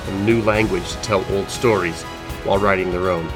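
An actor as the solemn narrator of a fantasy cyberpunk audio drama, with measured, scripted delivery. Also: male voice, low-pitched, steady tone, over music, close to an everyday voice. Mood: solemn, intriguing.

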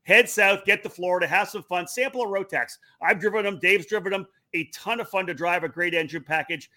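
Brief: man from Canada, fast bright host voice, upbeat promo energy. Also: high voice. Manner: upbeat, quick promotional delivery. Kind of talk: online talk show interview.

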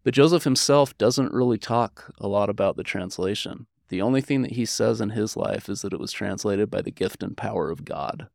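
Low, gravelly American man explaining earnestly. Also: normal speed, hardly any pauses, darkish tone, no echo, clean studio sound. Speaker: interview guest, historian. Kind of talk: conversational explaining and personal storytelling.

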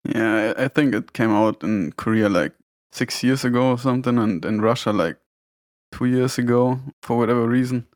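A man in his twenties from Bavaria with a German accent, a podcast guest chatting in a relaxed way and sometimes searching for words. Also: mid-range voice, moderate pace, no room sound, studio quality. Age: 20s. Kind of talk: casual gaming podcast chat.

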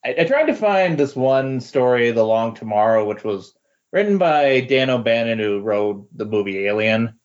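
A man chatting casually about comics on a podcast, halting and repeating words as he thinks. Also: medium pitch, animated, darkish tone. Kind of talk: casual comic book discussion. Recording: dull lo-fi voice recording.

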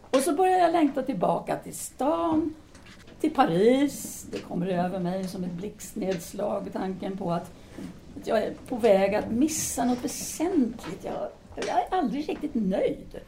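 A woman's voice: a little high, big pitch swings, vocal fry, warm tone, a little hiss or hum. A woman playing a self-assured, restless 1930s Parisian intellectual in an audio drama, speaking formally and decisively. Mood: candid, restless.

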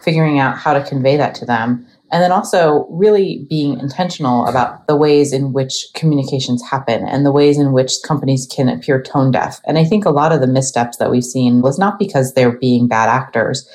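A woman's voice: very deep voice, brisk, hardly any pauses, darkish tone. A woman who advises corporate clients, talking in a professional panel discussion.

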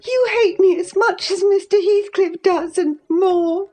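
A woman's voice: high-pitched, lively intonation, slow, darkish tone, soft background music, pitched above the performer's own voice. A woman voicing a petulant, pleading young woman from a novel, earnest and insistent. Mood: tearful, hurt.

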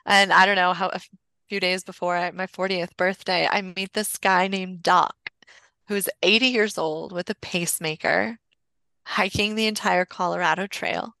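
Female podcast guest, forties, lowish bright voice, rambling conversational storyteller, close dry sound. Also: fairly even intonation, normal speed, vocal fry, no room sound, poor audio. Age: 40s. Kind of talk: casual personal storytelling.

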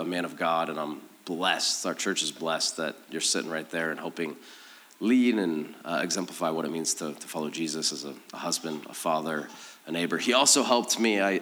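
A man preaching to a congregation as a pastor, in a conversational, informal style with a teasing, self-deprecating manner. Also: bright, forceful tone. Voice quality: slightly deeper voice than usual. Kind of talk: conversational sermon.

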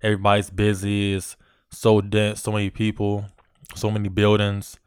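Smooth-voiced male podcast host, dry sound. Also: fairly low pitch, normal speed, no room sound. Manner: relaxed, filler-heavy, friendly shout-outs. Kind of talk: casual podcast interview. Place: Virginia.

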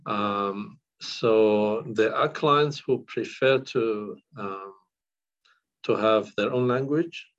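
Hesitant man, low voice. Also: very slow. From northern Iraq. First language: Kurdish. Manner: hesitant, many fillers and restarts. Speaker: counsellor. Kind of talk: podcast interview. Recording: dull lo-fi audio.

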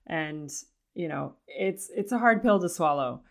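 A woman with a low-pitched voice, a touch raspy, hosts her own podcast alone. She talks in a loose, chatty way and laughs lightly between phrases. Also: lively intonation, unhurried. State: slightly raspy voice. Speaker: podcast host. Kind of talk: casual solo podcast talk.

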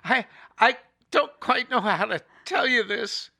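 Radio drama: earnest female guard, sharp gravelly edge, measured and worried. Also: on the high side, animated, full character voice. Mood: grave, anxious. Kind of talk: radio play acting.

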